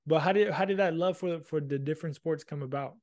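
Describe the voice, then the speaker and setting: A man's voice: on the high side, quick pace, darkish tone. A man hosting an interview podcast, asking long rambling questions full of restarts and fillers. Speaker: podcast host.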